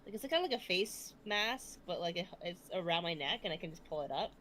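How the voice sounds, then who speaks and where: Sniffly woman with a bright voice. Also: fairly low pitch, expressive, a little fast, slight background noise. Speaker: co-host friend. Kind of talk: casual livestream chat.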